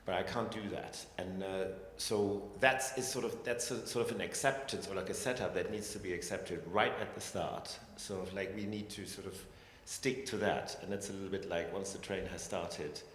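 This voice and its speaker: A man's voice: low voice, fairly even intonation, normal speed, few pauses, a bit bright, a little hiss or hum. A man speaking as a scientist on a panel, reflective and hesitant, with many restarts. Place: large echoey hall. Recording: echoey hall with long reverb, faint ambience.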